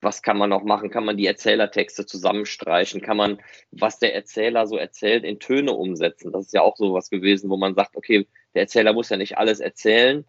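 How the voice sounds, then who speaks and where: A man's voice: lowish voice, steady tone, fairly quick, no echo. A man co-hosting a fan podcast, explaining things in a casual, conversational way. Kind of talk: casual explanatory chat about a hobby production. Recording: slightly dull, dry close recording.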